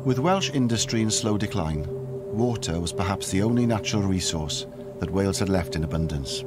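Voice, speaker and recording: A man reading scripted documentary narration in a measured, even delivery. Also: sits low. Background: music score.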